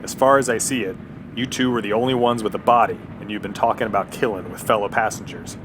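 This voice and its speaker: Man playing blunt Old West train conductor, gruff, authoritative, lowish voice, radio drama, clear rumbling background noise. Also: steady tone, quick pace, lightly acted. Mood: stern, blunt. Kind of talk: audio drama acting.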